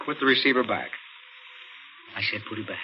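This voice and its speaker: An American man playing a cold-blooded murderer posing as a policeman in a 1940s radio thriller, with brisk, clipped, commanding tough talk. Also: on the low side, expressive, soft background music, lightly acted. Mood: cold, menacing. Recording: muffled, noisy vintage radio recording.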